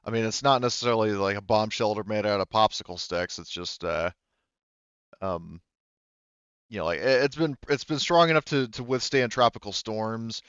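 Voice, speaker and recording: A man's voice: on the low side, animated, normal speed, a bit bright, clean tone, no echo, lo-fi sound. A man explaining things casually and tentatively as game master of a tabletop roleplaying game. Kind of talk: casual tabletop game narration and rules talk.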